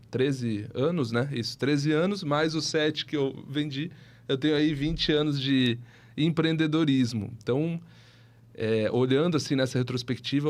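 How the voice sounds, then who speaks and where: A man of about thirty, telling his story as a podcast guest in an explanatory, conversational way, often adding little tag questions. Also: on the high side. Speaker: guest, tech entrepreneur and CEO.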